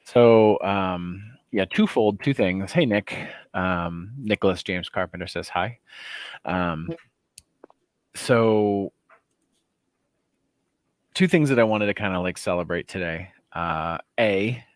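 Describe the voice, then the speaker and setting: A man's voice: low voice, slow pace, long pauses, no echo. A man hosting a livestream, chatting casually and joking, rambling with many fillers. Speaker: host.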